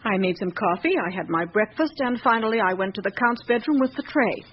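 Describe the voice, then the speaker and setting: An actress playing a guarded seventy-year-old housekeeper in a radio drama, giving curt, reticent, matter-of-fact answers. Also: female voice, medium pitch, animated, a little fast, close to an everyday voice. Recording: dull lo-fi radio recording with clear hiss.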